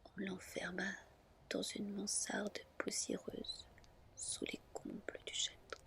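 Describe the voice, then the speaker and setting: A woman narrating a fairy tale gently and in measured phrases, in a whispered ASMR style. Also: mid-range voice, little pitch movement, creaky. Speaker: ASMR storyteller.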